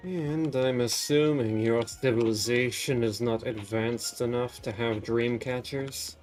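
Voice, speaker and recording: A man's voice: medium pitch, steady tone, a little slow, darkish tone, faint music behind. A man giving casual live commentary as a game streamer, musing aloud and chatting to viewers. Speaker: streamer playing a video game.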